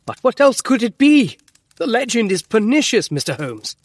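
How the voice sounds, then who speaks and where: An actor playing an earnest, excitable gentleman doctor in an audio drama, formal and emphatic as he tells his tale. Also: very high-pitched, normal speed, full character voice. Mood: urgent, earnest. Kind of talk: full-cast audio drama acting.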